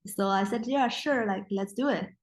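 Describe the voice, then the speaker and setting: A woman's voice: medium pitch, normal speed. A young woman whose first language is Mandarin, a guest in a casual interview, speaking English with frequent restarts and fillers. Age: young adult. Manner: hesitant, many restarts and fillers.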